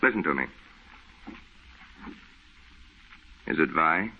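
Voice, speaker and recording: Deep-voiced skeptical friend, muffled old radio. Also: male voice, lively intonation, fast, creaky, a little hiss or hum, heavily acted. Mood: coaxing. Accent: American. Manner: insistent, folksy, reasoning. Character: level-headed, plain-spoken male friend, coaxing and skeptical. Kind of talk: 1940s radio horror drama acting.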